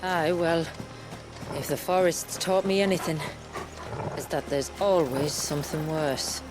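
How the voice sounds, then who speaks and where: Low-voiced, stoic woman guide. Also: fairly even intonation, a little slow, music in the background, poor audio. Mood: wry. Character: stoic, world-weary woman guide of a dwindling tribe. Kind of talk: video game cutscene acting.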